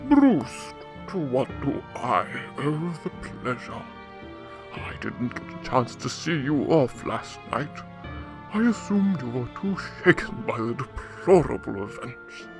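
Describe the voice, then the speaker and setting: An actor playing a suave, pompous older crime boss with an oily, formal manner in a radio drama. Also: male voice, medium pitch, very animated, takes it slowly, over music. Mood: smoothly courteous.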